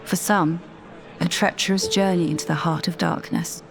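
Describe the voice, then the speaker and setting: Low-pitched woman guiding a meditation over music. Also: fairly even intonation, gravelly. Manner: measured, deliberate, soothing delivery. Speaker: meditation guide. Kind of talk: scripted guided meditation narration.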